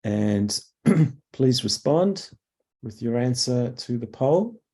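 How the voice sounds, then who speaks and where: A man with a croaky voice from a cough, presenting a webinar as a product manager, halting with fillers and clearing his throat. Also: mid-range voice, animated, mellow.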